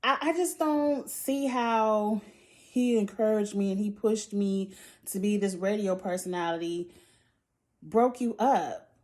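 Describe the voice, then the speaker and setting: A woman with a high-pitched voice giving a casual, outspoken recap of a reality show, with sighs and laughs between points. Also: unhurried, darkish tone. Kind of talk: casual opinionated chat.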